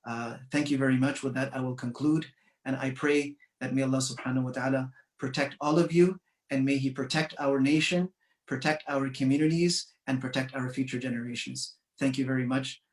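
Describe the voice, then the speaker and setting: A man from Canada giving an earnest, measured commemorative speech, pausing often with fillers. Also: mid-range voice, fairly even intonation, roomy sound, far from the mic. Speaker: community speaker.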